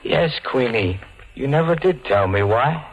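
An actor playing a cold, vengeful killer in a 1940s radio thriller, speaking with deliberate menace. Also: male voice, medium pitch, animated, slight background noise, low-quality recording. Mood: brooding, bitter. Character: cold, vengeful jilted ex-vaudeville performer bent on murder. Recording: old radio recording with background music.

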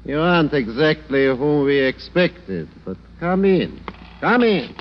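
An actor playing a sly, threatening criminal with a mocking, sneering manner, in 1940s radio drama style. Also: male voice, a little high, sing-song, unhurried. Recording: dull noisy radio, long reverb.

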